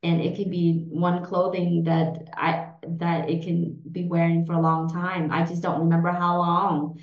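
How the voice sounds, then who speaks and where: A woman's voice: lowish voice, little pitch movement, unhurried. Hesitant woman in her forties, roomy. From Vietnam. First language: Vietnamese. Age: 40s. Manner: hesitant, many fillers and restarts. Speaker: podcast guest. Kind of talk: casual interview answers. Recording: roomy, dull lo-fi sound.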